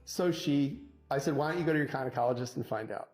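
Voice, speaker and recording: A man telling a courtroom anecdote as a divorce lawyer, in a punchy conversational way, acting out quoted dialogue with dramatic pauses. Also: mid-range voice, a little mellow, soft background music, poor audio. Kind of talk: animated conversational storytelling.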